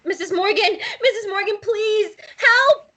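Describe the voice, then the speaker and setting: Woman as impulsive teen girl in trouble, high-pitched, dramatic table read. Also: measured pace, fairly bright tone. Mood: frantic. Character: impulsive, self-scolding teenage girl in distress. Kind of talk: table-read dialogue acting.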